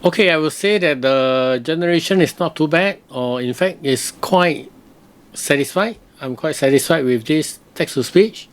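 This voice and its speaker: Guy with a slightly high voice walking thru software, casual, kinda thinking out loud. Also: normal speed. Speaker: software reviewer, host. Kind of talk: casual screen-recorded tutorial commentary.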